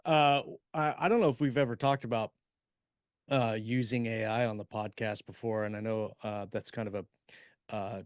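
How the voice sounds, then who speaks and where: A man's voice: lowish voice, fairly even intonation, moderate pace, smooth, no room sound. Man chatting casually, close dry sound. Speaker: podcast co-host and science fiction writer. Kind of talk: casual chat about writing.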